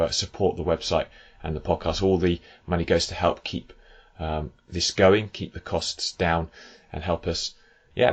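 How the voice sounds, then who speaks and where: A hoarse man hosting a football podcast, talking in an earnest, measured way with frequent fillers. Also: deep voice. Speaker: podcast host. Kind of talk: casual football podcast chat.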